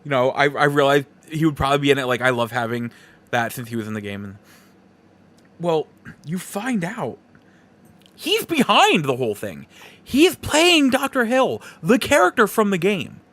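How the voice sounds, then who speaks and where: A man's voice: fairly high pitch, huge pitch swings, normal speed, a bit bright. A man hosting a podcast, talking through a casual, rambling review in a conversational way. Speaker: podcast host. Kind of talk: casual movie review monologue.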